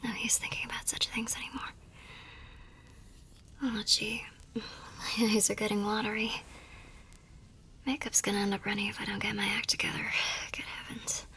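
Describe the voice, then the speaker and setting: A woman playing a tender, wistful young army nurse in an ASMR romance roleplay, with a soft, breathy voice that often drops toward a whisper and an emotive delivery. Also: medium pitch, expressive, moderate pace, pauses often, heavily acted. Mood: longing, tearful. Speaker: voice actor in a romance audio roleplay. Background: music.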